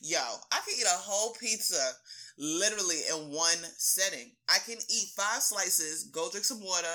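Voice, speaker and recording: A woman's voice: fairly low pitch, normal speed, low-quality recording. A woman talking casually as a fitness coach being interviewed, slangy and emphatic, telling stories and acting out quoted remarks. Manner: expressive storytelling, acts out quoted remarks. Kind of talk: animated casual interview talk.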